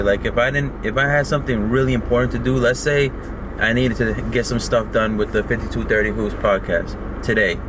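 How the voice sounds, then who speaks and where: A man's voice: lowish voice, background noise. A man talking in a casual, rambling way about his work while eating, filmed in a car. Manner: laid-back, rambling, heavy on "like". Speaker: vlogger and rideshare driver. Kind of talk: casual mukbang chat.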